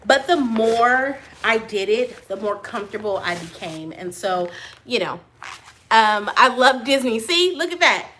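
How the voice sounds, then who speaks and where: A small business owner answering viewer questions on a live stream, loud and animated, laughing often, with a high voice she compares to a cartoon mouse. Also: measured pace, a little hiss or hum. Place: her print shop office.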